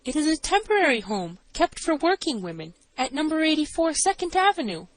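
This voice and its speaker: A woman's voice: high voice, big pitch swings, brisk, no echo, pitched above the performer's own voice. A woman voicing an anxious, trembling assistant matron earnestly giving testimony in an audiobook.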